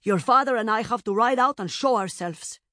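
A woman's voice: fairly low pitch, lively intonation, fairly quick, husky, dry recording, studio quality. A woman voicing a stern, fearless warrior queen and mother in an audiobook, firm and commanding.

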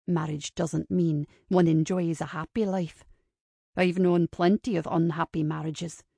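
A woman's voice: steady tone, a little slow, creaky, mellow, breathy edge, no echo, full character voice. A woman voicing a cynical, rakish man from a romance novel, murmuring with sardonic wit. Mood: needling. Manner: low murmuring, sardonic delivery.

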